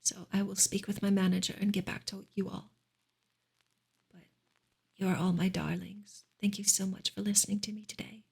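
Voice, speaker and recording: A woman speaking softly and gently in a breathy, whispery ASMR style, as a streamer reading and chatting to listeners. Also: mid-range voice, flat delivery, normal speed. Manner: gentle, soft-spoken. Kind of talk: soft-spoken ASMR book reading and chat.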